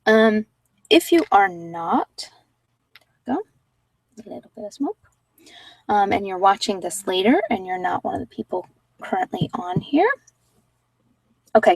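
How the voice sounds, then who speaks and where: Female ASMR meditation host, casual and rambling, soft-spoken with near-whispered moments, dry sound, slightly off mic. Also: medium pitch, big pitch swings, measured pace, gravelly, pauses often, breathy edge, no echo, recorded from a distance, poor audio. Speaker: host of guided meditation sessions.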